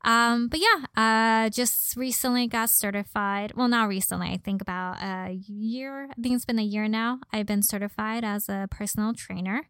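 A woman's voice: a little high, normal speed, hardly any pauses, fairly bright tone, smooth, dry recording, clean studio sound. A young woman from Los Angeles with a slight Valley girl accent, chatting casually as a podcast guest. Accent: slight Valley girl Californian. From Los Angeles, born in Mexico. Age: young adult. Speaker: podcast guest, model and personal trainer. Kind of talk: casual conversational interview.

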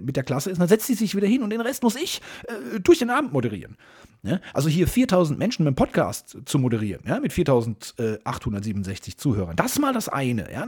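A man's voice: on the high side, a little mellow, breathy edge. A man, middle-aged, hosting a podcast as a casual, wry monologue full of grumbling asides and tag questions to his listeners. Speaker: podcast host.

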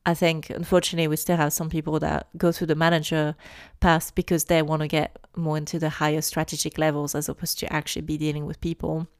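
Low smooth-voiced woman, earnest, chatty, dry close sound. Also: level tone, quick pace, hardly any pauses, no echo. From France. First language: French. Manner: earnest, emphatic, repeats starts. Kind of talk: casual discussion.

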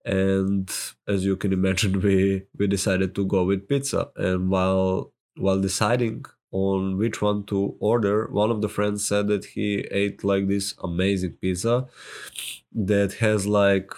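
Slow, low-voiced man with a Serbian accent. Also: little pitch movement, darkish tone. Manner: hesitant, many filler words and restarts. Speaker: solopreneur sharing marketing advice. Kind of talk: casual talk to camera.

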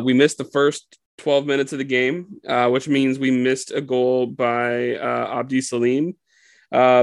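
Gravelly guy on a soccer podcast, laid back, lots of uhs, dry sound. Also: medium pitch, no room sound. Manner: relaxed, laughs often, many fillers. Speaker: podcast co-host. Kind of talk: casual sports chat.

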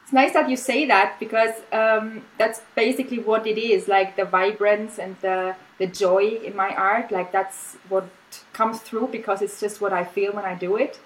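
A woman's voice: medium pitch, normal speed, rough recording. Austrian lady, german first language, easy chatty guest on a video call, lots of little laughs and ums. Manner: relaxed, laughs often, many fillers and restarts. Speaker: guest artist. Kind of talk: casual interview chat.

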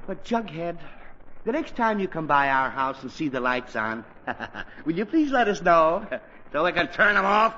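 Blustering old radio comedy father, exasperated, highish voice, wildly varied intonation, 1940s broadcast. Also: sing-song, unhurried, lo-fi sound, noisy background. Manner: sputtering, exasperated. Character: exasperated middle-aged father and husband, blustering.

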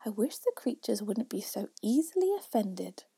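A woman's voice: medium pitch, sing-song, moderate pace, vocal fry, dark tone, slightly rough, no echo, heavily acted. A woman voicing a timid, polite, easily flustered little girl in a storybook, hesitant and pleading. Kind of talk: storybook reading.

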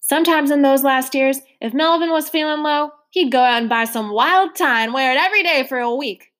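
A woman voicing a large, loud, warm-hearted older foster mother with a hearty, booming manner. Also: fairly quick.

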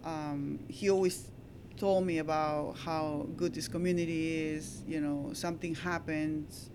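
A woman's voice: low voice, steady tone, a little slow, noisy background. A woman from Peru with a Peruvian Spanish accent, chatting casually as a shop co-owner in an interview.

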